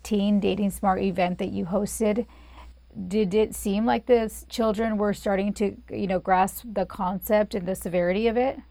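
A woman's voice: medium pitch, steady tone, moderate pace, dark tone, dry recording. Lady host reading out questions, mid voice, dry recording. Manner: clear, scripted questions. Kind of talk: hosted interview with prepared questions.

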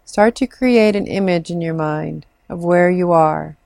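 A woman leading a guided imagery meditation in a soothing, measured manner with long pauses. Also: lowish voice, gravelly, a little mellow, no room sound, clean studio sound. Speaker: meditation guide, clinical social worker.

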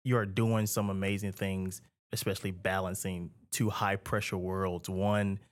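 Upbeat low-voiced male interviewer. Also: flat delivery, a little slow. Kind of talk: scripted interview questions.

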